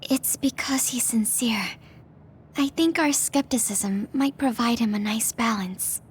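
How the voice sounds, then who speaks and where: An actress playing a skeptical, loyal young female soldier in a video game dub, earnest and expressive. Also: fairly high pitch, a little slow, slight background noise, lightly acted. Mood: serious.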